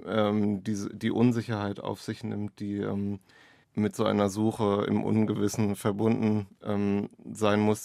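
A man's voice: lowish voice, gravelly, a little mellow, no room sound, clean studio sound. A man speaking as an author in an interview, picking his phrasing hesitantly as he explains his work. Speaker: author and playwright. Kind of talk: interview conversation about own work.

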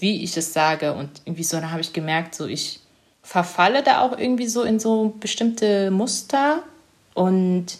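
A young woman, an author, talking reflectively in an interview, hesitant and searching for her words with many small fillers. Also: lowish voice.

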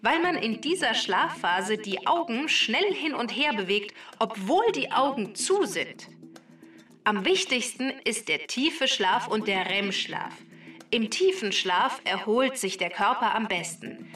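Talking database for kids' podcast: bright, factual, faintly smug, roomy sound with music behind. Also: female voice, fairly high pitch, expressive, a little slow. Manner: matter-of-fact, precise explaining. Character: talking female database, factual, slightly conceited robot helper. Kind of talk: scripted explainer for a children's podcast. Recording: roomy echo, distant, music underneath.

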